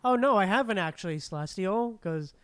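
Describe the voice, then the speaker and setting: A woman's voice: medium pitch, animated, fairly quick, clear, resonant tone, no echo. A woman chatting casually while livestreaming a game, laid-back, with murmured asides. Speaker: game streamer. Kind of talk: casual livestream chat over gameplay. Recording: game music behind voice.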